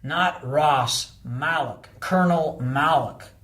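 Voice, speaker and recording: A man's voice: on the high side, slow, a bit bright, voice pushed up. A man voicing a plain-spoken, polite and slightly tentative visitor in an audiobook. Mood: tentative, restrained.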